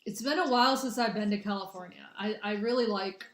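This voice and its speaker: A woman's voice: mid-range voice, brisk, fairly bright tone. A young woman from the American Midwest hosting a casual livestream, chatting with viewers in a rambling way with lots of restarts. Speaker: livestream host doing spiritual readings. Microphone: phone or webcam. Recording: echoey room.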